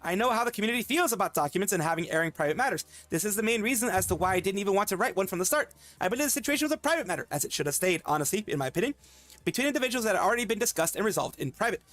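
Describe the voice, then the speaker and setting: A high-pitched man reads a long statement aloud at a rapid clip, breaking off to add his own remarks. He stumbles and restarts often. The sound is dry and close. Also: lively intonation, fairly bright tone, no room sound. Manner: fast, halting, with frequent fillers and restarts. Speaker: commentary streamer. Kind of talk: reading out and commenting on a document.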